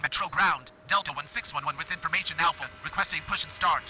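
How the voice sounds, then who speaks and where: A man's voice: a little high, fast talker, over music, natural voice. A man voicing an airline pilot in a game, giving clipped, routine radio calls over background noise. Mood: routine, businesslike. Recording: noisy game radio audio.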